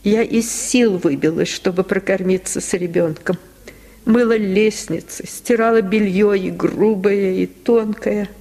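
Worn-out widowed washerwoman played by a woman for a radio tale, mid-pitched, slow, plaintive. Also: mellow. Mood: weary, plaintive. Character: worn-out poor widowed washerwoman recalling hardship.

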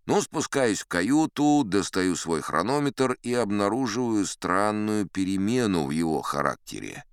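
Deep and richly inflected, this is a pompous old sea captain telling tall tales for an audiobook. He digresses like a self-assured scholar, with big swings in intonation, in a close, dry recording. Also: sing-song, unhurried, clear, resonant tone, dry recording. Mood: wry, perplexed. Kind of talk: theatrical audiobook storytelling.

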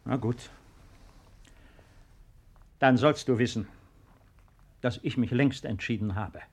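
Middle-aged father, steadfast, principled, measured phrasing, earnest, vintage radio play. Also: medium pitch, husky. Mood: resolute. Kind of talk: 1950s German radio drama acting.

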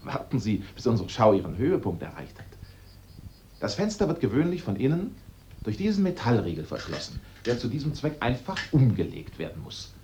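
A man acting a sharp-witted amateur sleuth in a German radio drama, theatrical and assertive as he sets out his case step by step. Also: medium pitch, very animated, brisk, a little hiss or hum, lightly acted. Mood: showman-like.

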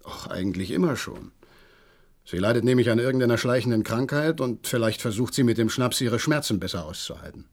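A man's voice: medium pitch, fairly quick, close to an everyday voice. A man acting in a German radio play as an agitated horse trainer, eager and deferential as he gives a dramatic account. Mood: secretive, earnest. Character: agitated horse trainer reporting a mystery, deferential male servant.

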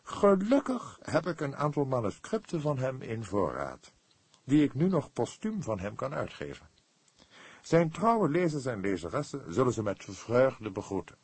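A man's voice: mid-range voice, big pitch swings, normal speed, darkish tone, slightly rough, dry recording, voice pushed up. A man voicing an irritable, self-important male literary publisher in an audiobook, speaking formally and emphatically. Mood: pleased, emphatic. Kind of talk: Dutch audiobook character voice.

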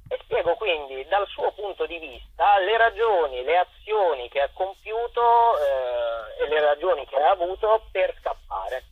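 A man's voice: on the high side, husky. A young man from Abruzzo in Italy, an actor and playwright, explaining his work in a conversational interview over the telephone. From Chieti, Abruzzo, Italy. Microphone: phone call. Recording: tinny, roomy telephone line on radio.

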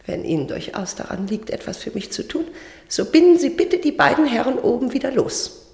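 A stubborn lady captive in a radio drama, haughty and sharp-tongued. Her voice is high and theatrically imperious. It rings with strong echo and long reverb. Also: expressive, fairly quick. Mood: haughty defiance. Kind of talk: radio play acting. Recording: echoey, reverberant cellar-like sound.

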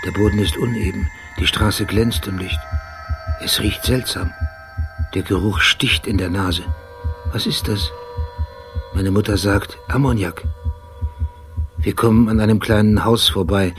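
A man playing a brooding, obsessive police detective, narrating precisely and introspectively in short measured sentences in a radio drama. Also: on the low side, lightly acted. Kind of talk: literary radio drama monologue.

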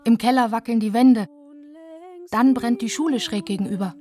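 A woman's voice: fairly high pitch, steady tone, moderate pace, warm tone, natural voice. A woman playing an observant young girl who recalls wartime in first-person radio play narration. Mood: quiet, wondering.

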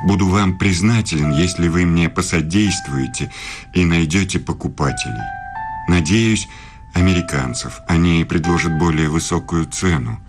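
An actor playing a courtly, self-assured middle-aged philosophy professor in a radio play. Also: male voice, low-pitched, moderate pace, over music. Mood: grave, formal.

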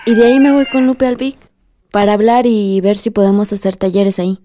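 An actress playing an eager young grown-up daughter chatting at home in a radio drama. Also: female voice, mid-range voice, steady tone, brisk, noisy background, lightly acted.

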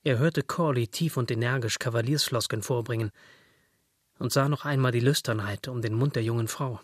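A man's voice: medium pitch, moderate pace, no room sound, clean studio sound. A man narrating literary fiction from a script.

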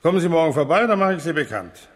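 An actor playing an obliging master car mechanic in a radio crime drama, clipped and matter-of-fact. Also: male voice, on the high side, very animated, fast talker. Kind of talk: 1970s German radio crime drama acting.

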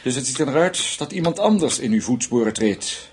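An actor playing a shrewd German intelligence official in a radio drama, speaking formally and deliberately. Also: male voice, medium pitch, very animated, husky. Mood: knowing, grave.

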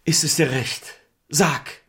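A man's voice: on the high side, steady tone, takes it slowly, a bit bright. An actor playing a well-meaning, unsuspecting husband in a radio play, eager and slightly bumbling as he seeks approval. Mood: eager, hopeful. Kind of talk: literary radio play acting.

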